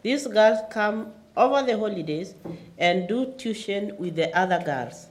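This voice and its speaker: A woman gives a panel answer in short, simple sentences. Her delivery is matter-of-fact and her voice sits at a middle pitch. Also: expressive, a little slow. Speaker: panelist, runs girls' safe houses. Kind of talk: plain-spoken panel answer.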